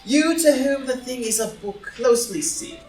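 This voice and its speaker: A woman playing a witty, dandyish gentleman, flippant yet loyal, with dry, declamatory repartee in an audio drama reading of a play. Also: high voice, moderate pace, natural voice. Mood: indignant. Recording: roomy, distant live reading with music.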